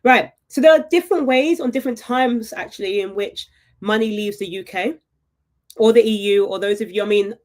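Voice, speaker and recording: A woman hosting a live property webinar, chatty and upbeat, often checking in with her viewers with a quick "right?". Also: fairly high pitch, a little mellow. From United Kingdom. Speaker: property investment webinar host.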